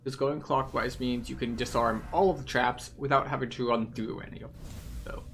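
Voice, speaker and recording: Creaky-voiced man guiding a game walkthrough. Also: mid-range voice, fairly even intonation, moderate pace, a bit bright, a little hiss or hum. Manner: methodical, explanatory. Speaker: game guide host. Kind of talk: guided video game walkthrough commentary.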